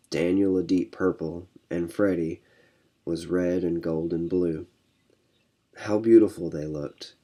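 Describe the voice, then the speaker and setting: A man narrates a storybook in a low voice with a very dark, soft-edged tone. He reads as an amateur, telling the story aloud. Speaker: amateur reader, choir member.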